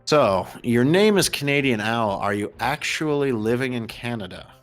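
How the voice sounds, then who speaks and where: A man's voice: mid-range voice, animated, moderate pace, fairly bright tone, soft background music. An American man commentating and interviewing on a live gaming race in a casual, chatty, jokey way. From United States. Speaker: host and commentator.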